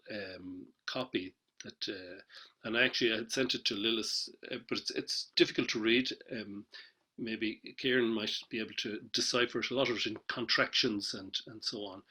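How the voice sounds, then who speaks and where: Male panellist, husky tone, halting unscripted delivery over a video call. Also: on the low side, bright, forceful tone. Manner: hesitant, many fillers and restarts. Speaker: panellist, traditional musician. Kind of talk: casual webinar talk.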